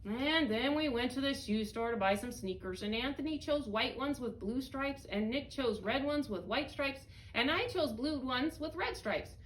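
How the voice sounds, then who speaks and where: A woman's voice: sits high, expressive, moderate pace, hardly any pauses, rough recording. A middle-aged American woman, a school principal, reading a storybook aloud expressively for children. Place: indoors, small library room with bookshelves. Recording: faint background room noise.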